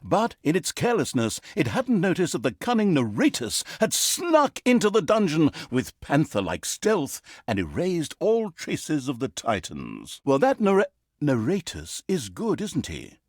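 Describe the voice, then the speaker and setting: A man's voice: fairly high pitch, wildly swooping pitch, a little slow, no echo, studio quality, exaggerated voice. A man playing a wry, pompous storyteller narrator in a fantasy game, with grandiose, sarcastic delivery. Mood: sly, smug. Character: wry, pompous male storyteller narrator mocking villains and heroes. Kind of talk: theatrical comic storybook narration.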